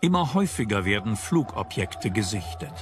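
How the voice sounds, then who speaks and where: A man's voice: lowish voice, big pitch swings, normal speed. A man reading scripted documentary narration as the narrator. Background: music.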